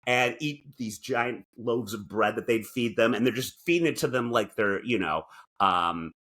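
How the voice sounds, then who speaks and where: A man's voice: medium pitch, moderate pace, fairly bright tone. A man co-hosting a talk show with casual comedic banter and theatrical, mock-indignant asides. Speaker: podcast co-host. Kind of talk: casual comedic banter, reading aloud from articles.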